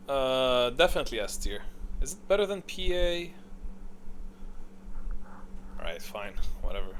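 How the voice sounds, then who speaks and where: Guy rambling through a game ranking, blunt and unsure at once, bit of background noise, mid pitch. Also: very animated, unhurried, fairly bright tone. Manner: opinionated, blunt, thinks aloud and second-guesses himself. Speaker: gaming content creator. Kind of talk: casual rambling commentary while ranking game characters.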